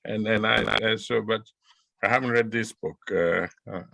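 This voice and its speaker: A man from Greece hosting a book club interview, hesitant with many pauses and restarts. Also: fairly low pitch, fairly even intonation, vocal fry, bright, forceful tone, dry recording. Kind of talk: interview questions.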